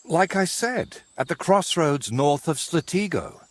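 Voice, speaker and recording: An actor playing a wry, courteous, middle-aged medieval painter in a video game, with a husky voice and a wordy, theatrical way of talking. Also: male voice, fairly high pitch, expressive, unhurried, dry recording. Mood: indignant storytelling. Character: wry, wordy ageing medieval painter, robbed but polite. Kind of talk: game cutscene dialogue.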